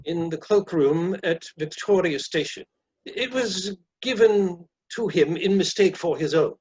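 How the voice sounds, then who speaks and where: A man playing a polite, flustered gentleman suitor in a comic play reading, hesitant, formal and apologetic. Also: high voice, expressive, unhurried, breathy edge, dull audio, exaggerated voice. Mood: earnest, uneasy.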